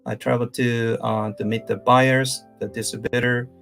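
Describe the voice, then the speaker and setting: A man in his thirties from South Korea, speaking English with a Korean accent as a career coach giving a live-streamed lecture, halting with many fillers and restarts. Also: medium pitch, flat delivery, creaky, a bit bright, soft background music.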